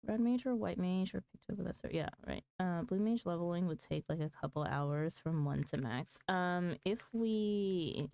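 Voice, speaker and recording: A woman's voice: fairly low pitch, normal speed, gravelly, hardly any pauses, mellow, smooth, dry recording. A woman chatting casually on a gaming livestream, thinking aloud in a hesitant way. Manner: hesitant, thinking aloud, mulling over choices. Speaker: game livestreamer.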